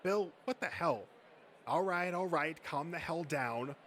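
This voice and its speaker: A man's voice: fairly high pitch, animated, measured pace, fairly bright tone, voice pushed up. A man voicing an anxious, conciliatory young nerd and boyfriend in a comic dub, with slight noise in the recording. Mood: exasperated. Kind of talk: comic dub character acting.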